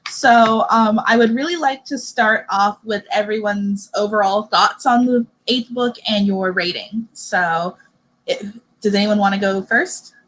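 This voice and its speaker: A woman's voice: medium pitch, steady tone, a little slow, fairly bright tone, slight background noise, low-quality recording. A woman hosting a casual livestreamed book discussion, guiding the conversation in everyday language. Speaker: discussion host.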